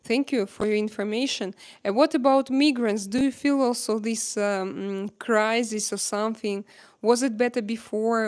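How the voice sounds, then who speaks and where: Non-native woman livestreamer, unhurried and halting, searching for words; smooth, clear tone. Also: medium pitch, darkish tone. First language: not English. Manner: hesitant, many filler pauses, searching for words. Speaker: livestream host chatting with viewers. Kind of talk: unscripted live chat. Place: home in Spain.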